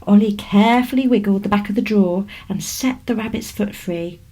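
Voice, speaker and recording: A woman with a British accent reading a children's story aloud in an expressive storytelling manner. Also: mid-range voice, fairly quick. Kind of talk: scripted children's story narration.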